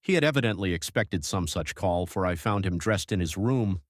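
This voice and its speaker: A man playing a grave, devoted young husband who narrates his journal in an audiobook, measured and reflective. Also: low voice, lively intonation, no room sound, studio quality. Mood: weary, reflective.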